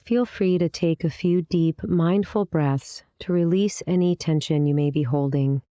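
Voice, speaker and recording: Low, mellow-voiced woman guiding meditation. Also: clear, resonant tone, no room sound, clean studio sound. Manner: calm, measured, gently instructing. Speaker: meditation teacher, series host. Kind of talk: guided meditation narration.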